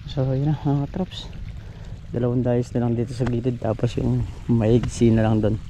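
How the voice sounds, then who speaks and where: A man's voice: medium pitch, flat delivery, normal speed, warm tone. A man with a Filipino accent chatting informally as he narrates a casual farm vlog outdoors on an action camera. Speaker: farm vlogger. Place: open farm field by water, outdoors. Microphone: handheld action camera. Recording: windy, noisy outdoor action-camera audio.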